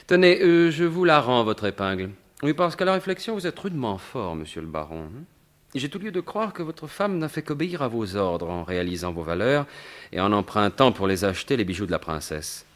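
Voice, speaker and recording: An actor playing a suave, mocking gentleman thief in a 1950s-style French radio mystery, with a sardonic, assured, theatrical delivery. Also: fairly low pitch, big pitch swings, fairly quick. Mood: sardonic, admiring.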